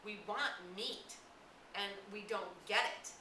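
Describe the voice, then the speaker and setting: A woman reading the part of a fierce, obsessive young boy hunter in a storybook, insistent and halting. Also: mid-range voice, voice pushed up, natural voice. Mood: stubborn, insistent. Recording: roomy, distant mic, clear hiss.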